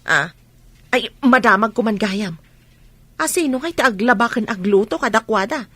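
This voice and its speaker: A prying village gossip, played by a woman, pokes and teases in Ilocano-accented radio comedy dialogue. Her voice is a bit high and sounds dry and close, with no room around it. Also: lively intonation, normal speed, bright, forceful tone, no room sound. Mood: nosy, teasing.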